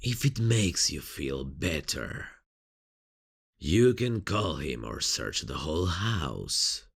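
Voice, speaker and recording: Man acting a gravelly, gloating villain. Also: very deep voice, sing-song, takes it slowly, heavily acted. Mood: taunting, amused. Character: menacing, gloating criminal villain, back from near death. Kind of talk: ASMR roleplay acting.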